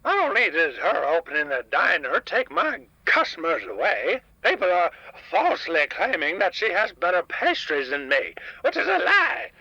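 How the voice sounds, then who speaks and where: Stubborn elderly male caller, acted by a man, bright forceful rasp, huge pitch swings, grumpy folksy rambling, radio filter. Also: wildly swooping pitch, normal speed, hardly any pauses, dry recording, lo-fi sound, exaggerated voice. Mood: indignant, bitter. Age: older. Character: grumpy, stubborn old male diner owner. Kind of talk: comedic radio drama guest. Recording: dry, band-limited radio call-in line.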